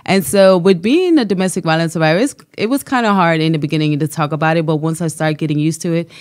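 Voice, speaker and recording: A woman's voice: low-pitched, fast, a little mellow, no echo, clean studio sound. A woman from Germany with a German accent, a life coach speaking earnestly and with faith about her business as a radio guest. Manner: earnest, motivational, faith-filled. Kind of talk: radio interview, personal testimony.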